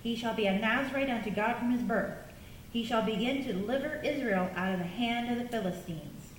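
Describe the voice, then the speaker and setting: A solemn angel voiced by a woman reciting an announcement in old scriptural style, measured and fairly high. The sound is echoey, with faint rumbling noise. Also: close to an everyday voice. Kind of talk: children's puppet show Bible drama. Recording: echoey distant room with rumble.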